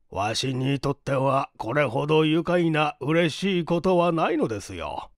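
A performer voicing a genial, naive, well-off older gentleman with a leisurely, pompous, old-fashioned way of talking in a storybook reading. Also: medium pitch, dry recording, clean studio sound. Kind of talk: dramatized literary reading.

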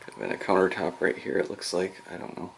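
A man casually narrating as he explores an abandoned farmhouse, musing quietly and sometimes dropping to a whisper, recorded on a handheld camera mic indoors. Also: very animated, quick pace, creaky, background noise. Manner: musing, low-key, trailing off into soft whispers.

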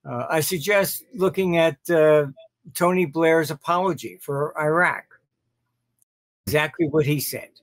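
An older man with a fairly high voice gives expert commentary as an interview guest. He speaks very slowly and haltingly, with dismissive emphasis. The recording is a dry video call. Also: no room sound. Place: home office, indoors.

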